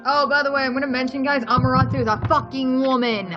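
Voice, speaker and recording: A woman's voice: high-pitched, steady tone, fast. A woman co-hosting a let's play, chatting casually in a blunt, opinionated way and swearing freely. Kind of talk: casual gaming commentary. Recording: dull lo-fi mic, loud music behind. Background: game music.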